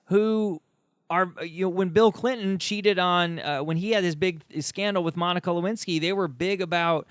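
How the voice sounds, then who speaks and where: A man's voice: high-pitched, moderate pace, a bit bright, smooth, dry recording, studio quality. A man from the United States hosting a conversational interview, speaking in an opinionated, emphatic way. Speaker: podcast host.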